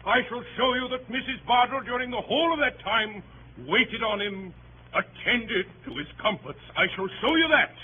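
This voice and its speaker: An actor playing a pompous, melodramatic barrister addressing a jury in a theatrical, grandiose 1930s radio drama. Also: male voice, very high voice, expressive. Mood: insistent, accusing. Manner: theatrical, grandiose, emphatic oratory with dramatic pauses. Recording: dull, noisy 1930s radio broadcast.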